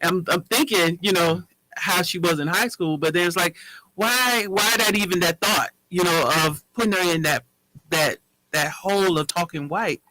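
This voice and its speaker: A man's voice: high voice, normal speed, pushed and bright, no echo, low-quality recording. A man hosting a casual panel, thinking aloud and posing questions to the others. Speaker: panel host.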